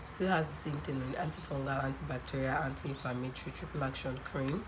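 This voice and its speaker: A woman from Nigeria with a croaky voice from a cold, chatting casually on camera about a skincare product and often adding "um" and "okay". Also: rumbling low, a little fast. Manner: chatty, many ums and okays. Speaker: skincare vlogger. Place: home room by a sunny window near a road. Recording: clear traffic noise behind. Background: passing cars.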